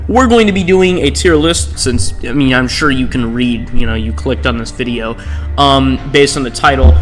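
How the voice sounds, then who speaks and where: A man's voice: mid-range voice, fairly bright tone, low-quality recording. A man giving casual, unscripted commentary as a video host, rambling off on digressions and often checking in with a questioning tag. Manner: rambling, digressive, often tagging statements with "right?". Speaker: host of tier-list videos.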